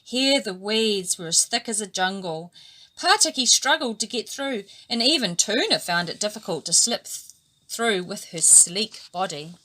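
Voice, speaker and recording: A woman from New Zealand reading a children's story aloud with animated, lively storytelling. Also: mid-range voice, a little slow, poor audio. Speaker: storybook reader. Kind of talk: expressive children's story narration.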